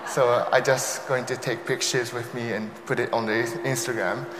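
A man's voice: medium pitch, fairly even intonation, moderate pace, background noise. Young Japanese-accented man in echoey hall. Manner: light self-deprecating humor. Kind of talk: sermon talk. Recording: echoey hall with long reverb.

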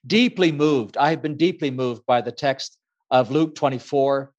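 Thoughtful man on a muffled line. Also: medium pitch. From Ecuador. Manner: measured, thoughtful, many fillers. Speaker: author and guest. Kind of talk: reflective interview answer.

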